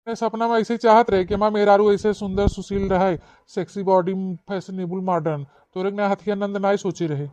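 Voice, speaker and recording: Casting: male actor as callous Awadhi-speaking village husband, regional cartoon comedy, taunting, high-set voice, very dry sound. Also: steady tone, fairly quick, a little mellow, no room sound, close to an everyday voice. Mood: boastful. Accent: eastern Uttar Pradesh, Awadhi. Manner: taunting, dismissive, blunt. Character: callous, boastful village husband infatuated with another woman. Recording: dull, dry lo-fi voice track.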